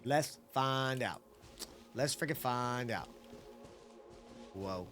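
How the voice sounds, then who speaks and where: A man's voice: medium pitch, extremely slow. A man streaming a video game, reacting casually and expressively in slangy exclamations. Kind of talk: casual live gameplay reaction commentary. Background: game music.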